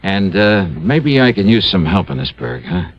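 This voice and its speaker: A man acting a tough, fast-talking, street-smart insurance investigator in an old-time radio drama, slangy and persuasive. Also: low voice, very animated. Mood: coaxing, confident. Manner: slangy, punchy, urgent. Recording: dull vintage radio recording with music.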